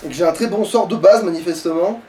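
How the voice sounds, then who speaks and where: A man giving excitable, grumbling gaming commentary peppered with swearing. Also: sits high, fast talker. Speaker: let's play player. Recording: distant mic, background noise.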